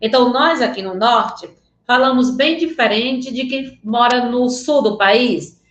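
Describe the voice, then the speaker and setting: A woman's voice: a little high, measured pace, a bit bright, roomy sound, a little hiss or hum. A woman teaching a Portuguese class online in a Northeastern Brazilian accent, speaking earnestly and often checking in with her students. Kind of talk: online class lecture with slides.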